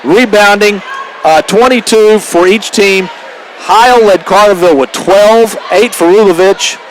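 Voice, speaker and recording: An American man gives radio color commentary after a game. His voice is distinctly high for a man, and he leans emphatically on his points and figures. A light wash of venue noise sits behind him. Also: unhurried, a faint crowd, poor audio. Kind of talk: post-game analysis with statistics.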